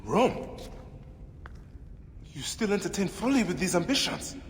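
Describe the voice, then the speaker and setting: An actor playing a grieving, hardened Egyptian warrior bent on revenge in a video game, grave and intense. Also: male voice, high-pitched, sing-song, a little slow, a little hiss or hum. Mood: weary, tense. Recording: echoey reverb with clear music.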